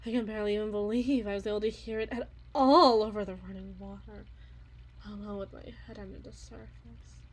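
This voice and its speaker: A woman playing an obsessive, unhinged yandere wife in an ASMR roleplay monologue, theatrical and halting, with eerie, menacing tenderness and a breathy voice. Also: mid-range voice, steady tone, lightly acted. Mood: eerily calm. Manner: theatrical, halting, drawn-out repetitions, swinging from hushed to intense.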